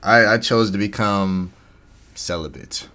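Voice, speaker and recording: A man's voice: lowish voice, a little slow, bright, forceful tone. A man in his twenties, co-hosting a casual podcast chat, joking about himself and laughing often. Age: 20s. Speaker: podcast co-host.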